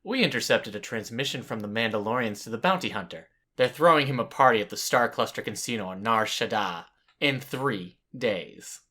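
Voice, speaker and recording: An actor playing a cocky, easygoing cyborg mercenary spy in a space audio drama. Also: male voice, mid-range voice, lively intonation, moderate pace, sharp tone. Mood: businesslike.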